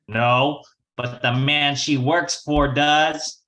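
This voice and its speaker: A man's voice: fairly high pitch, steady tone, extremely slow, pushed and bright, heavily acted. A man playing a scheming young gambler in a 1940s radio crime drama, slangy and wisecracking. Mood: menacing. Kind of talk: 1940s radio detective acting.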